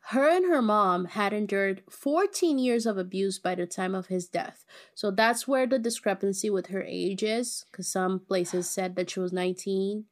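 A woman's voice: mid-range voice, normal speed, few pauses. A young woman co-hosting a true-crime podcast, recounting case details in a laid-back way with lots of fillers. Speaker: podcast co-host. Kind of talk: casual true-crime narration.

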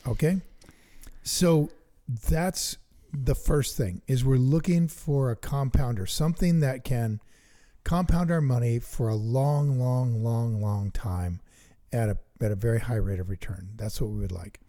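A middle-aged man co-hosting a chat about investing, eager and thinking out loud as he works sums aloud. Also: mid-range voice, unhurried. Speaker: investing podcast co-host. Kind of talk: casual teaching chat.

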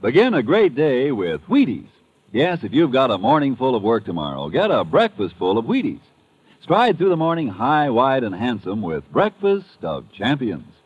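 A male pitchman from vintage radio. He sells emphatically, with hugely swooping intonation and a slightly husky tone. The old recording sounds muffled. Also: huge pitch swings, moderate pace. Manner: emphatic, persuasive. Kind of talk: 1950s radio advertising pitch.

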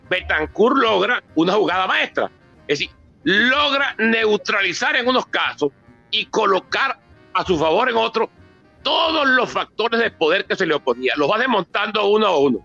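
A man's voice: sits high, pushed and bright, lo-fi sound. An older man with a Venezuelan accent giving an emphatic, oratorical public talk on political history, repeating phrases for effect. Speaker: author and politician presenting his book.

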